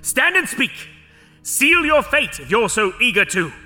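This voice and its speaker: A man's voice: extremely high pitch, big pitch swings, a little slow, bright, forceful tone, faint music behind, full character voice. A man playing an imperious, exasperated judge in a fantasy audio drama, pompous and commanding. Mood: angry, exasperated.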